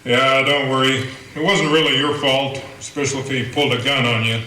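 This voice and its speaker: A man's voice: medium pitch, level tone, brisk, bright, forceful tone, natural voice. A man playing a fretful small-town banker. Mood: puzzled. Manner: questioning, fretful. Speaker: town banker Benson Bailey. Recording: roomy echo, a bit distant, faint hiss.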